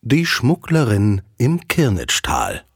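Male storyteller for radio drama, medium pitch, extremely varied intonation, scripted read. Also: wildly swooping pitch, slow pace, husky. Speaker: narrator. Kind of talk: scripted audio drama narration.

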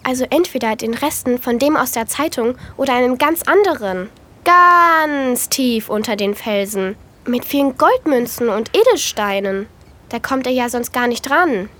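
A woman's voice: a little high, lively intonation, moderate pace, a bit bright. A woman playing a bold, bossy and eager young girl detective in a children's audio drama. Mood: eager, daring. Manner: brash, eager, conspiratorial.

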